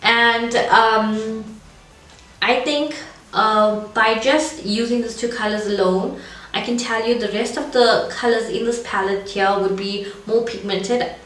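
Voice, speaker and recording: A woman's voice: a little high, steady tone, slow, fairly bright tone. A woman with a cold and a scratchy, congested voice, chatting casually through a makeup review in a rambling way. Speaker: beauty vlogger. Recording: echoey room, far from mic, faint noise.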